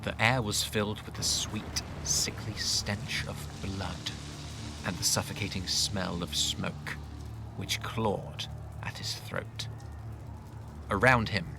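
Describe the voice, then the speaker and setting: A man reading dramatic scripted fantasy narration in a grave manner. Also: lowish voice. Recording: faint noise and ambience.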